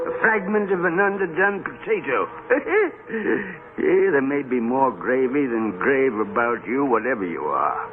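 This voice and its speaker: An actor playing a miserly old businessman, gruff and frightened, in a theatrical, blustering 1930s radio drama style. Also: medium pitch, expressive, a little slow, hardly any pauses, narrow, tinny audio, close to an everyday voice. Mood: gruff, defiant. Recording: scratchy old radio broadcast, thin band, music.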